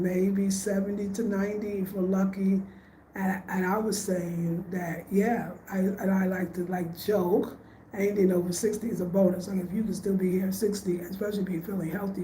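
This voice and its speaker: Mellow-voiced woman in her late fifties. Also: mid-range voice, slight background noise. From United States. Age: 50s. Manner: chatty and reflective, addresses viewers as y'all. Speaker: vlogger. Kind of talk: casual chat to viewers. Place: home room in Ghana. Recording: echoey room, faint ambience.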